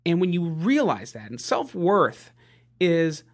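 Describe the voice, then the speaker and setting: A man in his late thirties co-hosting a podcast, talking in an earnest, halting way with lots of fillers and restarts. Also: on the high side, very animated. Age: 30s. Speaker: podcast co-host. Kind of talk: casual motivational podcast chat.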